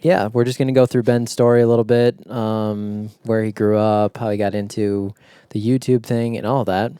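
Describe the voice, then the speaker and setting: A young American man hosts a podcast interview in an easygoing, conversational way. His voice is low and mellow with a smooth, clear tone, recorded close and dry. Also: flat delivery, normal speed, no room sound. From United States. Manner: easygoing, many fillers and agreements. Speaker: podcast host. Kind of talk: casual interview.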